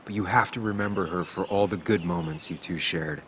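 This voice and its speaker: A low, earnest male voice in a story-driven game, gentle and measured. He sounds weary yet reassuring, with some noise behind him. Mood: somber, gentle. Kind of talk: dramatic video game acting.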